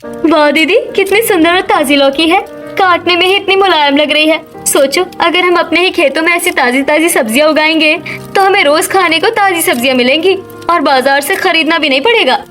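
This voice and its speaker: A woman's voice: extremely high pitch, fairly quick, fairly bright tone, soft background music. A woman voicing a thoughtful, hopeful young village daughter-in-law in a cartoon story. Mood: admiring, hopeful.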